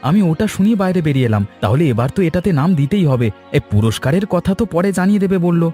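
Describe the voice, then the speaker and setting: A man's voice: on the high side, sing-song, quick pace, warm tone. A man voicing an anxious village family member in a cartoon, with music behind him. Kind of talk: cartoon voice acting.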